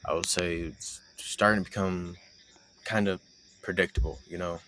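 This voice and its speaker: A man acts out a young male roommate with a low-pitched voice, bantering in a casual, teasing way. He speaks directly to the listener like a close friend hiding a crush, in a roleplay audio with slight background noise. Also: fairly even intonation, normal speed, fairly bright tone, rough recording. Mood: mock surprise. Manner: teasing, conversational, sarcastic banter.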